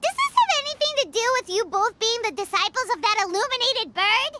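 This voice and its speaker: A woman's voice: very high-pitched, very animated, brisk, heavy background noise. An actress voicing a tiny, cheeky, girlish fairy companion in a video game, chirpy and nosy. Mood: teasing. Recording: game music clearly behind.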